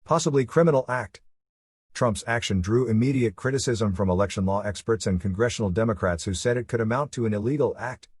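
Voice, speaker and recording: A man reading a scripted news report in a formal, measured manner. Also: on the low side, a little mellow, husky, no room sound, clean studio sound. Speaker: news reader.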